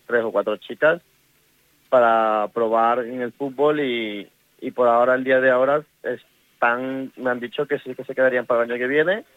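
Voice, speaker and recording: A middle-aged man with an Ecuadorian accent talks haltingly about coaching, stopping and restarting his sentences. His voice comes through a tinny, narrow phone line with no room sound. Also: mid-range voice, dry recording. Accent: Ecuadorian Spanish. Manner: halting, many restarts and fillers. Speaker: women's youth football coach. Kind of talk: casual radio interview.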